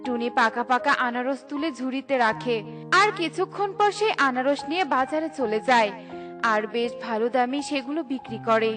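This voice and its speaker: Woman, narrator, scripted children's story, high pitch, clear music behind. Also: animated, fairly quick.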